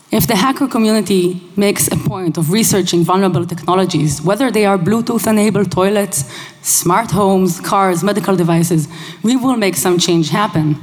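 A woman whose first language is Hebrew, giving a conference talk in a brisk, casual and emphatic way. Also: medium pitch, a little mellow. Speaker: conference speaker. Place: large conference hall. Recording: long hall reverb.

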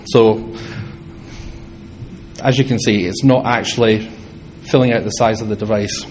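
A man with a Scottish accent giving a fast, halting technical talk to a hall audience, with many restarts and fillers. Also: lowish voice, steady tone, a little mellow, a little hiss or hum. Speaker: conference speaker.

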